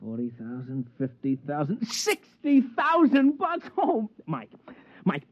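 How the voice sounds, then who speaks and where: An actor playing an excitable male sidekick in a swindle in a 1950s radio drama, talking in eager, jumpy slang. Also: high voice, slow pace, dry recording, heavily acted. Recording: dry, dull vintage radio recording.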